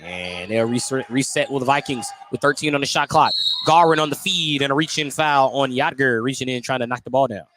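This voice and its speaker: A man's voice: mid-range voice, fairly quick, fairly bright tone. A man doing live radio play-by-play commentary of a basketball game as the announcer. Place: indoor gymnasium. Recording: noisy live gym broadcast. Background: gym noise, bouncing basketballs.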